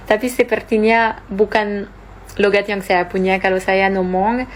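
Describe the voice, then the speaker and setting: A woman's voice: medium pitch, little pitch movement, unhurried, a little hiss or hum, low-quality recording. A young French woman with a French accent, explaining thoughtfully and hesitantly while she searches for words. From France. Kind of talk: casual explaining to camera.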